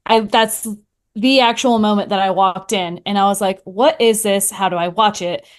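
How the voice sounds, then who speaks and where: A woman's voice: mid-range voice, moderate pace. A woman talking casually as a podcast guest, with a playful, wry manner. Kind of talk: casual chat.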